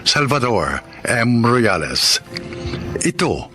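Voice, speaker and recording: Man, Filipino accent, drama announcer, very measured pace, sweeping intonation, scripted delivery, music bed. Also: medium pitch, sing-song, sharp tone. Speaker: drama anthology announcer and host. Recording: clear music bed under voice.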